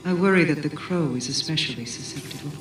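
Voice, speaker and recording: A woman's voice: low voice, close to an everyday voice. A woman as an imperious queen, echoing and distant. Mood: commanding, concerned. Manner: formal, measured, grave. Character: imperious, wary queen and coven leader. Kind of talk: dramatic video game cutscene speech. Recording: echoing, distant voice under music. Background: music.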